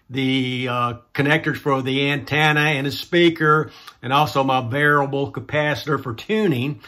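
A man's voice: a little high, a bit bright. A man in his sixties hosting a casual DIY vlog, explaining things to the camera. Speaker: DIY video host. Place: small indoor home workshop room.

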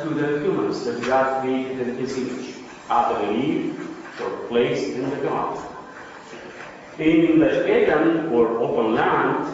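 A man's voice: a little high, a little hiss or hum. A man with a Romanian accent delivers an academic lecture, often posing a question and then answering it himself. He is picked up from a distance in a lecture room. The sound rings with long reverb and comes across muffled and dull. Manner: explanatory, asks and answers own questions. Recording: distant, echoey, dull lecture hall recording.

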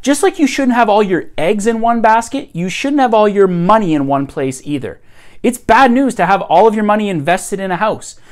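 A man in his thirties hosting a scripted finance explainer, upbeat and emphatic, filmed at home in front of bookshelves. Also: high-pitched. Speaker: host.

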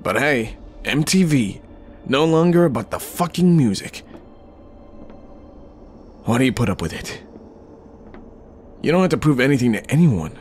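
Man playing a slow-talking, cocky flirtatious supervillain. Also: mid-range voice, expressive, faint music behind, lightly acted. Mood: taunting. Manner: teasing, smug, chuckling banter. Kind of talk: ASMR roleplay acting.

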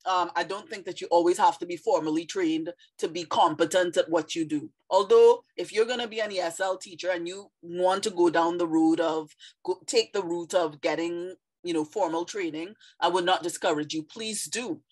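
A woman's voice: fairly low pitch, moderate pace, a little mellow. A woman in her thirties from Trinidad and Tobago with a Trinidadian accent, talking casually and reflectively about teaching English, with frequent fillers. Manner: reflective, conversational with many fillers. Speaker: vlogger, former ESL teacher. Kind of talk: casual monologue to camera.

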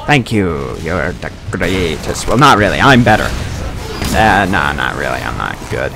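A man's voice: low voice, very animated, unhurried, creaky, over music. A man giving casual, improvised gameplay commentary, rambling in a self-deprecating way and often repeating himself. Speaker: gaming video creator. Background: video game sounds.

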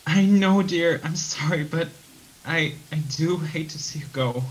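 A man's voice: on the high side, a little slow, close to an everyday voice, poor audio. An actor playing a worried, tender father in a radio play, pleading and affectionate. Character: worried, tender father seeing off his grown child.

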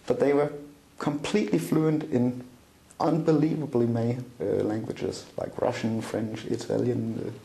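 Middle-aged Danish man, gravelly dark voice, echoey room, halting interview guest. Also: medium pitch, little pitch movement. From Denmark. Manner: halting, many fillers and restarts. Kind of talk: recounting a personal story in an interview. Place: small room indoors, seated at a table.

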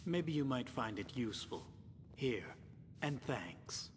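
A man's voice: big pitch swings, slow. A man voicing an officious, by-the-book company clerk in a video game, with a formal, fussy manner. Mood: reluctant, polite. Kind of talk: video game character dialogue. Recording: long reverb, faint rumbling noise.

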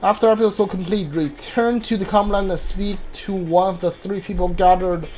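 A man casually commentating on his video game playthrough, haltingly thinking aloud and mumbling as he reads. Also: sits high, fairly even intonation, darkish tone, soft background music.

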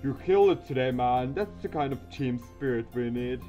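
A man voicing an enthusiastic teenage boy track captain, loud and hearty. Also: medium pitch, animated, moderate pace, muffled recording, faint music behind, natural voice.

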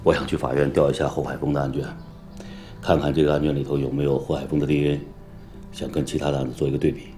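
A man's voice: very deep voice, flat delivery, quick pace, dark tone, faint music behind. A man playing a polite, businesslike lawyer in a film drama, talking in an understated way. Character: businesslike male lawyer quietly requesting case files. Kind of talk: understated screen drama acting.

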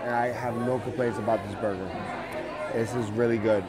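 A man's voice: medium pitch, fairly even intonation, brisk. A man in his thirties reviewing a burger in a casual vlog, chatty and exclaiming, laughing often, filmed on a phone with an earbud mic. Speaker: food vlogger. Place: busy bar and restaurant, indoors. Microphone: earbud mic, phone held close. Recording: noisy crowded bar with music. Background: loud music and crowd chatter.

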